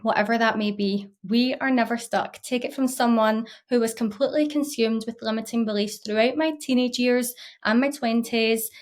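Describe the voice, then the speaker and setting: A woman's voice: a little high, fairly even intonation, moderate pace, hardly any pauses. A woman in her thirties with a Scottish accent, talking to camera as a mindset coach in an earnest, encouraging way. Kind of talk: casual talk to camera.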